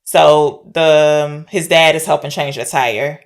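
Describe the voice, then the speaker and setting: A woman's voice: low voice, steady tone, a little slow. A woman co-hosting a podcast, retelling a story informally and checking her wording with her partner. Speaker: podcast co-host discussing films. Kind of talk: casual conversational plot recap.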